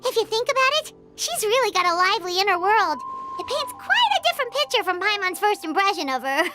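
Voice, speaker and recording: A tiny game sidekick girl with a very high, squeaky voice. She is curious and chirpy, swooping up and down as she talks, with prominent music behind her. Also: very animated, fast talker. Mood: curious, musing. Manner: refers to self in third person, exclamatory. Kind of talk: video game voice acting.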